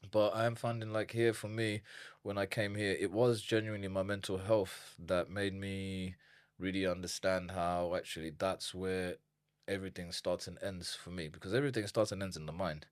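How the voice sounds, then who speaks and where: Guest in his thirties from Zimbabwe, deep voice, dry close sound; talks animatedly, doubling words as he gathers thoughts. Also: normal speed, dry recording. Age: 30s. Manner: animated, repeats words when thinking. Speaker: nutrition coach guest. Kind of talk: casual interview chat.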